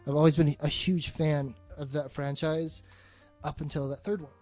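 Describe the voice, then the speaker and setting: A man's voice: on the high side, little pitch movement, normal speed, a little mellow, soft background music. A man chatting casually about films as a co-host, swearing freely and using plenty of slang.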